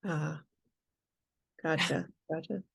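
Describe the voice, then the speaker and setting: A woman interviewer with a lowish voice. She keeps her questions short and polite and thanks her guest after each answer. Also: steady tone, slow, creaky, slightly rough. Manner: brief, polite.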